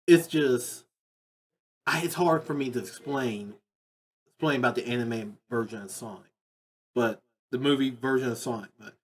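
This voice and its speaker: A man's voice: medium pitch, a little mellow. A man giving casual, unscripted commentary as a video host, rambling and emphatic, often repeating his phrases. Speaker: video host ranking video game movies.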